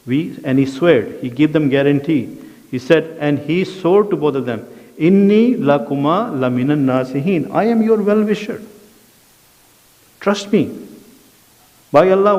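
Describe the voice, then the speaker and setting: A man's voice: a little high, a little mellow. A man with an Urdu accent teaching a Quran class, reciting Arabic verses and translating them line by line, often checking in with his students. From South Asia. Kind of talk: classroom lesson explaining verses. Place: mosque education centre classroom. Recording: dull sound, long hall reverb.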